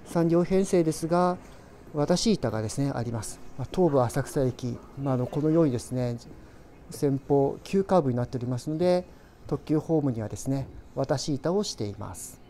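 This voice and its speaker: A man giving unhurried, casual travel vlog narration, explaining things in drawn-out phrases. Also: mid-range voice, animated, warm tone. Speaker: railway travel vlogger. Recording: clear train and station noise behind.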